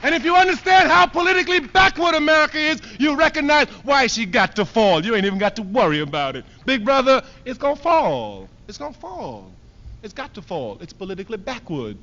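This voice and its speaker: A man from Trinidad delivers an emphatic political speech, hammering points home by repeating them. His voice sits high and leaps up and down in wide swings, rising to loud declarations before dropping to low asides. The sound is dull and muffled, like an old archive recording. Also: very animated, brisk. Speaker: political speaker.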